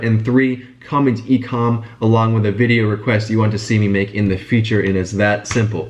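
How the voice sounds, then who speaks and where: A young man telling his story in a casual vlog while congested and sick with a stuffy nose. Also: on the low side. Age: young adult. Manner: fast, chatty, informal. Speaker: business vlogger.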